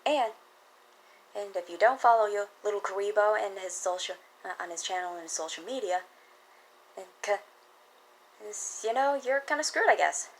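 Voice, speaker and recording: A woman's voice: medium pitch, animated, normal speed, pauses often, slight background noise. A woman host talking casually as she introduces a reaction video.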